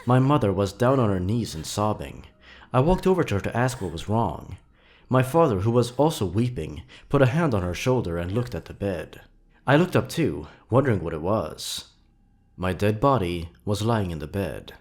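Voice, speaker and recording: A man narrating scripted creepy stories in a dramatic, suspenseful, theatrical way with drawn-out pauses. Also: on the low side, sing-song, darkish tone. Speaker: horror story narrator and channel host.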